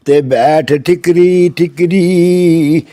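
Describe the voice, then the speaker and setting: A man's voice: fairly high pitch, steady tone, mellow. A man with a Punjabi accent reciting a folk verse tale in a melodic chant, drawing out the ends of his lines. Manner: chanting, melodic, with long drawn-out line endings.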